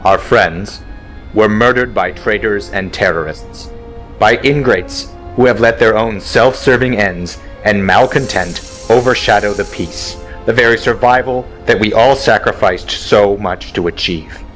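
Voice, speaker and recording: A man playing a weary fighter-wing colonel who speaks in a solemn, measured way in a fan audio drama. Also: lowish voice, a bit bright, faint music behind, poor audio. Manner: solemn and measured, dryly blunt in private. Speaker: colonel and squadron commander.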